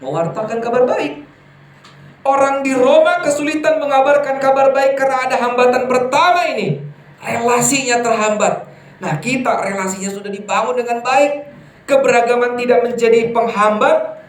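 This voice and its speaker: High-voiced preacher in an echoey hall. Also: male voice, normal speed. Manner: lively, rising to emphatic peaks, asks the congregation questions. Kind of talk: sermon to a congregation. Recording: echoey hall from far off, faint ambience.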